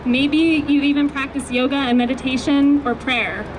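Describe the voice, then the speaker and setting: Soft-voiced woman speaking outdoors. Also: high voice, fairly even intonation, normal speed. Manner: earnest, with fillers and restarts. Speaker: activist speaker at a rally. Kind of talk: public rally speech. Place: outdoors on a city street. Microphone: handheld microphone held close. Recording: noisy outdoor street recording. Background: traffic and street noise.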